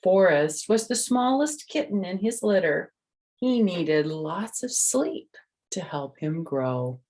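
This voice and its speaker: Older lady storyteller, lowish voice, reads kids book gently, chats n asks little questions. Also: lively intonation, slow pace. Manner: gentle, simple explaining, asking the listener questions. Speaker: storyteller and host. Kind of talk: reading a picture book aloud to children with chatty asides.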